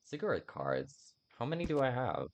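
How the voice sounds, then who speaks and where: A man's voice: medium pitch, wildly swooping pitch, normal speed, creaky. A man giving casual video game commentary, thinking aloud in a rambling, self-mocking way over game sounds.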